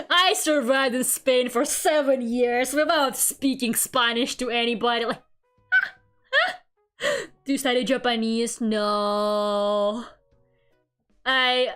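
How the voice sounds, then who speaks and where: A woman's voice: high-pitched, fairly bright tone. A woman whose first language is Russian, with a mixed Russian and British accent, chatting casually and self-deprecatingly while drawing on a stream. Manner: chatty, self-deprecating, laughs often, many fillers.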